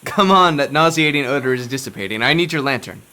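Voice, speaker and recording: An actor in a horror radio drama playing a driven, condescending occult scholar with formal, old-fashioned speech. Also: male voice, medium pitch, lively intonation, fast talker, sharp tone. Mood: excited. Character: Warren, driven, condescending occult scholar obsessed with forbidden knowledge.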